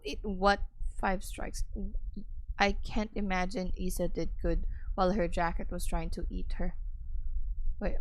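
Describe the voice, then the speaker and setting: A young woman with a raspy voice chatting casually with her viewers on a gaming stream, greeting chat and laughing often. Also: medium pitch, bright, forceful tone, slight background noise, poor audio.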